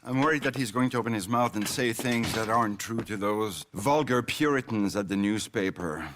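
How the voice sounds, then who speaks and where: An actor playing a genteel, self-important middle-aged mayor in a video game, with a florid, theatrical, ingratiating manner. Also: male voice, on the low side, normal speed, noisy background, lightly acted. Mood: confiding. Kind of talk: video game cutscene acting.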